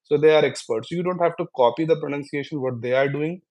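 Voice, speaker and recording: A man's voice: fairly high pitch, quick pace, a little mellow. Indian-accented man giving explanatory advice. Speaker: guest English trainer. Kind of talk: live question-and-answer session, explanatory advice.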